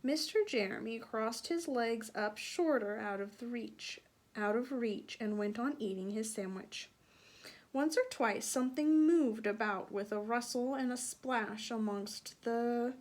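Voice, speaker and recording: A woman reading a picture book aloud for children, slowly and deliberately, with sing-song emphasis. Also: on the high side, darkish tone. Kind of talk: read-aloud children's storytelling.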